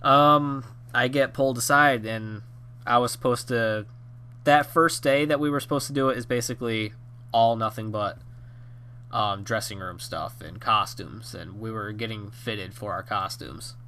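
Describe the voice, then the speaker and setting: Rambling young man, bright nasal voice. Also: lowish voice, measured pace, slight background noise. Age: 20s. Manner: rambling with many fillers and restarts. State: nasal and hoarse from a cold. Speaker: YouTube storyteller. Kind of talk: rambling casual storytime.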